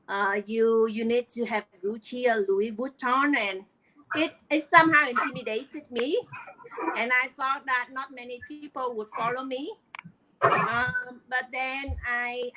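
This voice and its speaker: A middle-aged woman from Vietnam with a Vietnamese accent, earnestly telling her story as an interview guest, often pausing on fillers. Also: on the high side, bright, forceful tone, rough recording. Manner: earnest, hesitant with many fillers. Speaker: guest, online business coach.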